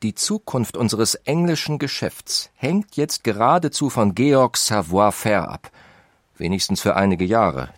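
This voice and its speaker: An actor reading the letters of a German industrialist brother, insistent and urging. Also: male voice, on the low side, expressive, measured pace, no echo. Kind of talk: reading historical letters in a radio feature.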